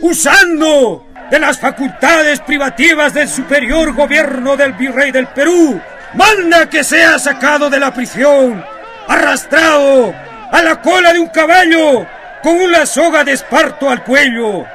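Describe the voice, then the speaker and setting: Stern colonial official, radio drama: very high, bright, declamatory, slow, crowd noise behind. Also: male voice, exaggerated voice. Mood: stern, proclaiming. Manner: declamatory, shouted, solemn. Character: stern Spanish colonial official proclaiming a death sentence. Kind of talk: radio drama acting, proclamation.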